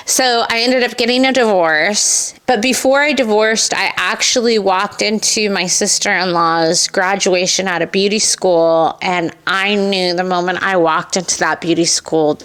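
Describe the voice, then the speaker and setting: A middle-aged woman with a Californian accent and a mid-range voice. She shares personal stories in a conversational, candid way, slipping into quoted remarks as she goes. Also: mid-range voice. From Southern California, United States. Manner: candid, animated retelling with acted-out quotes. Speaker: podcast guest, esthetician and business owner. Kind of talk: personal storytelling in a conversational interview.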